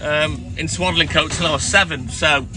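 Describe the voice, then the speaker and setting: A man's voice: on the high side, normal speed, music in the background, poor audio. A man who works as a milkman chatting casually on a live stream, rambling through stories and greeting viewers by name, with a mixed English accent that belongs to no one region. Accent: mixed English, no clear regional accent. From English Midlands, raised partly in North Wales. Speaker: milkman livestreamer. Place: in and around a milk van with his dog.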